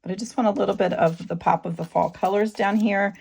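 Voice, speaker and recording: A middle-aged woman with a sore throat whose voice keeps cutting in and out, talking through a craft tutorial in a relaxed, halting way with lots of ums. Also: medium pitch, vocal fry, slightly rough. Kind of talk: casual craft tutorial narration.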